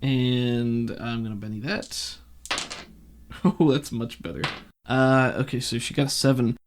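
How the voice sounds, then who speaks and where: A game master narrating a tabletop game in an improvised, casual way, with halting asides. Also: medium pitch, background noise.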